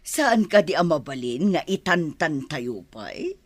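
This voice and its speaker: Casting: disdainful village matron, middle-aged, Ilocano accent, highly animated radio-play delivery. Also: female voice, a little slow, no echo, heavily acted. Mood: reluctant, whining. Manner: complaining, disdainful, theatrical. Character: haughty middle-aged village mother who scorns farmers. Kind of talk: Filipino radio drama acting.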